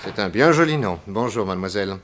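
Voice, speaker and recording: A man's voice: lowish voice, expressive, fast talker, fairly bright tone, slight background noise. An actor playing a polite, unruffled detective in a 1960s French radio play, courteous and understated as he questions people. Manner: courteous, understated, brief interjections.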